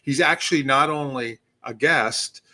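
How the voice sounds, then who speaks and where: A male podcast host with a bright, forceful tone and a middle pitch for a man. He talks in an easygoing way and restarts phrases loosely as he moves through his questions. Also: measured pace. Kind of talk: casual interview.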